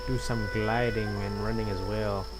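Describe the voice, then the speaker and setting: A man's voice: lowish voice, flat delivery, normal speed. A man doing casual live gameplay commentary, musing and a little hesitant as he goes. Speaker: game streamer. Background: game music.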